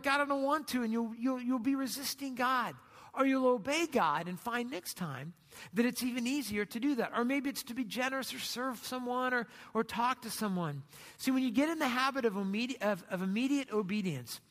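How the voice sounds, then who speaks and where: High-voiced man preaching in a reverberant hall. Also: a bit bright. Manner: informal, explanatory, often checks in with "right?" and "you know". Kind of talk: sermon, conversational teaching.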